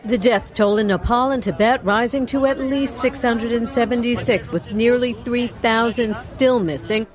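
A woman reading broadcast news in a crisp newsreader delivery. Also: fairly high pitch, moderate pace, hardly any pauses. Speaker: news anchor.

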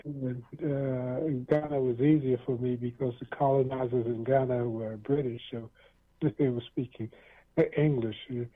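A man's voice: mid-range voice, steady tone, normal speed. A man hosting a radio talk show, chatting in a rambling, easygoing way with lots of fillers and restarts. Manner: rambling, many fillers and restarts, chuckles often. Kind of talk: casual interview chat. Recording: tinny lo-fi radio sound.

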